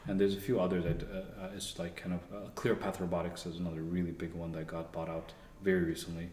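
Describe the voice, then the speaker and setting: A young man from Canada, a guest engineer, talking in a relaxed, rambling way with many fillers and restarts. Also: deep voice, fast. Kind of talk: casual interview conversation. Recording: echoey room.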